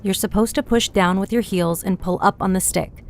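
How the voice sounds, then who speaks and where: Woman playing a creaky, firm female sergeant instructor. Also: medium pitch, a little hiss or hum, lightly acted. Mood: firm, instructive. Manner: instructional, measured. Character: Sergeant Helena, firm, composed female broom-riding instructor. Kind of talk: audio drama character acting.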